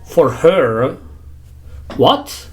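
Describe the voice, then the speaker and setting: A young man with an Italian accent, a native Italian speaker, thinking aloud in English with halting phrasing as he streams a game. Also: fairly high pitch, expressive, soft background music. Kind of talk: casual gameplay commentary.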